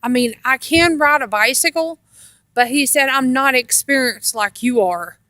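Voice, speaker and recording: A woman casually telling rambling personal stories in a halting, meandering way, often repeating herself. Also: high voice, bright, forceful tone, dry recording. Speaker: storyteller on own video channel.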